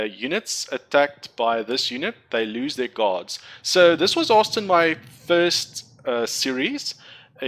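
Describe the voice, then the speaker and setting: A man's voice: medium pitch, a bit bright. A young man whose first language is Afrikaans, rambling and correcting himself as he walks through building a card game deck. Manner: rambling, self-correcting, chatty explainer. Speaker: gaming content creator. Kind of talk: casual game tutorial commentary.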